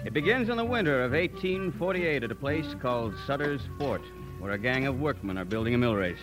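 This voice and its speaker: A man playing a composed American merchant who narrates his own story in a measured old-time radio drama style. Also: mid-range voice, expressive, over music, natural voice, rough recording.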